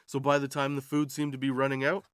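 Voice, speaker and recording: A man's voice: medium pitch, monotone, quick pace, fairly bright tone, clear, resonant tone, no room sound. Casual man in his forties, dry close recording. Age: 40s. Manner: chuckles often at his own jokes; slangy, ends remarks with "dude" and "right". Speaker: podcast host. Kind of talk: casual comedic storytelling monologue.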